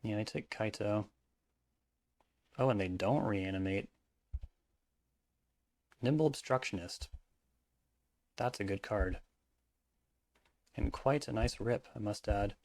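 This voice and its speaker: A man casually commentating his own card game match, thinking aloud in mumbled asides, his voice hoarse and cracking at times. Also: fairly low pitch, slow pace, long pauses, a little mellow, no room sound.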